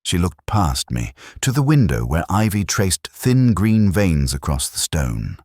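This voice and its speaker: A man playing a brooding, literary police inspector who narrates his case in a measured, reflective, melancholic way, as in a scripted audio drama. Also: low voice, big pitch swings, a little mellow, breathy edge, no echo, studio quality, exaggerated voice. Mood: reflective, sombre.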